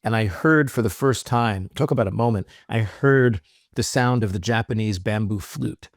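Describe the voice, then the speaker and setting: Lower-voiced man guest, thoughtful and explanatory, dry close recording. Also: moderate pace, dry recording. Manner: thoughtful, explanatory, frequent "right?" and "um". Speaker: podcast guest, musician. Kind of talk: reflective interview talk.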